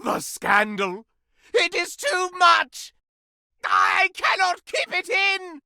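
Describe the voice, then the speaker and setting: A man's voice: very high voice, huge pitch swings, takes it slowly, pushed and bright, dry recording, studio quality, full character voice. A man voicing a flamboyant, prudish living flame in a video game, declaiming pompously and melodramatically in archaic, wordy phrases. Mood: aghast, overwrought. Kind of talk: video game character voice acting.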